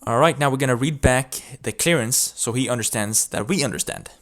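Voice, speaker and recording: A man's voice: medium pitch, fairly quick, fairly bright tone. A man presenting a tutorial, explaining procedures step by step in an instructional narration. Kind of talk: instructional video narration with demonstrated radio calls.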